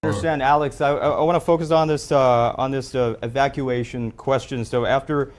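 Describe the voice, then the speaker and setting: A man's voice: mid-range voice, steady tone, fairly quick. Male host of a live discussion show, hesitant, many fillers and restarts. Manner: hesitant, repeats words and fillers.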